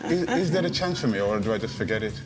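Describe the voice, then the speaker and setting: Male interviewer, lowish voice, conversational, music running underneath. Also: animated, fast.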